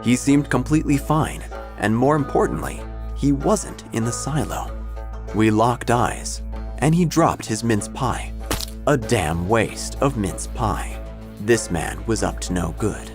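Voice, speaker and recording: A man narrating a scripted first-person story in a theatrical, wry manner with deliberate dramatic phrasing. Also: mid-range voice, animated. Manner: theatrical, wry, deliberate pauses, drops to hushed tones. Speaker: narrator, obituary writer character. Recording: music bed behind the voice. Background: background music.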